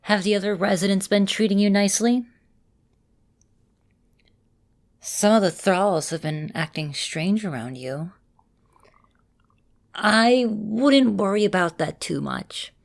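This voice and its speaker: A woman plays a vampire mistress in an ASMR roleplay. Her voice sits on the low side, and she talks straight to the listener like a caring, composed teacher, choosing measured, formal words. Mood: welcoming, protective. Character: female vampire mistress, caring, composed mentor, easily flustered. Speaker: voice actor in a roleplay audio.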